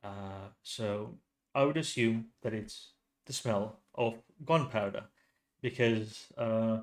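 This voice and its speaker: Slow, hesitant Finnish-accented man. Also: fairly low pitch. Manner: hesitant, many restarts and fillers. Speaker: true crime channel host. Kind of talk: casual solo commentary.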